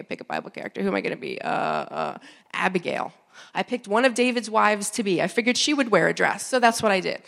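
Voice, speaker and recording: A woman in her forties gives a chatty, self-deprecating sermon into a handheld mic. She sounds stuffy from a summer cold. Her voice sits in a middle pitch and often drops into a gravelly fry. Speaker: guest preacher. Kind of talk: storytelling sermon. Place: church sanctuary.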